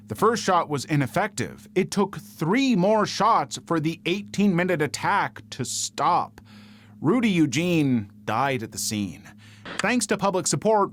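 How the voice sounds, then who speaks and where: A man reading scripted true-crime narration as a narrator. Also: on the high side.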